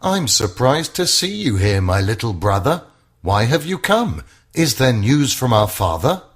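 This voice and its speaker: A man's voice: medium pitch, very animated, measured pace, slightly rough. A man voicing a stern, dismissive older brother, a soldier scolding in rhyme in a children's story. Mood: surprised. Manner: scolding, rhyming speech.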